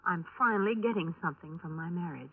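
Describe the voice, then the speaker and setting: A woman acting a bitter American widow in her early thirties from a vintage radio crime drama. She speaks bluntly and with control, her pitch a little low for a woman. The recording is tinny and narrow, like a 1950s broadcast. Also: fast talker, heavy background noise, lightly acted. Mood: hurt, resolute.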